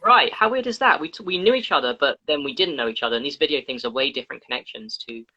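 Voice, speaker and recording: A man hosting a live social media interview over a video call, rambling and excitable. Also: on the high side, fast, dull audio. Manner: excitable, rambling, laughs loudly. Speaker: host.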